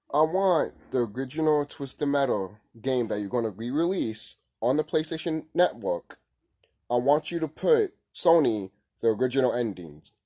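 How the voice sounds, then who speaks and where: Male gaming vlogger, mid-pitched voice, casual and informal, circles back over his points in a loose, unscripted way. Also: mellow. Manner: informal, repetitive, mild swearing. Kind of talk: casual opinion vlog, rambling.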